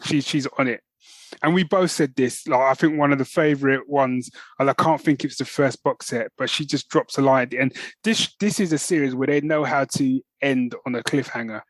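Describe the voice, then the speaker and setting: A man's voice: mid-range voice, steady tone, normal speed, no room sound. Man chatting in a dry, close recording. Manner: chatty, informal, often checks in with "right?" and "you know what I mean". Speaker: podcast co-host. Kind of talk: casual chat, enthusiastic fan review.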